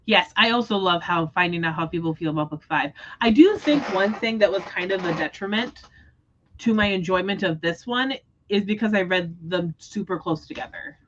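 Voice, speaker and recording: A woman hosting a casual book discussion livestream, talking in an animated, expressive way. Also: on the low side, moderate pace, lo-fi sound, a little hiss or hum. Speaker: host of book readalong livestream.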